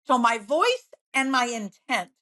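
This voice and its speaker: A woman real estate agent as an interview guest, bubbly and upbeat, telling stories with lively enthusiasm. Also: on the high side. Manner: bubbly, upbeat, quick to laugh. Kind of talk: conversational interview.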